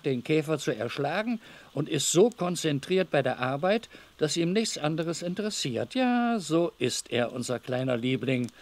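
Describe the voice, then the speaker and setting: A man's voice: medium pitch, close to an everyday voice. A man playing an evasive, overly cordial middle-aged master of a house in a German radio drama, wordy, hesitant and patronizing.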